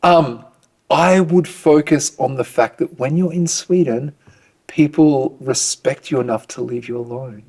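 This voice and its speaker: A man's voice: medium pitch, a little slow, breathy edge. A man with an Australian accent, talking casually in an interview. He answers with easy humor and pokes fun at himself. He speaks as a program director describing his work. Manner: relaxed, self-deprecating humor.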